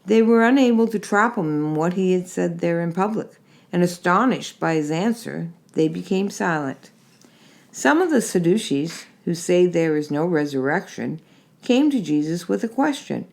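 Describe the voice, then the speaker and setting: Woman reading scripture, slightly low voice. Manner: measured, steady reading with gentle emphasis. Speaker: scripture reader. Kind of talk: Bible reading.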